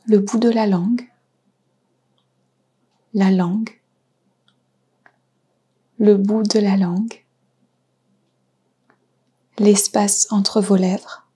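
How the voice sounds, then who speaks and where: A woman guiding a yoga nidra relaxation, speaking softly and soothingly with pauses between short phrases. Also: medium pitch, fairly even intonation. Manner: soft, breathy, soothing delivery with pauses. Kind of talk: guided relaxation meditation.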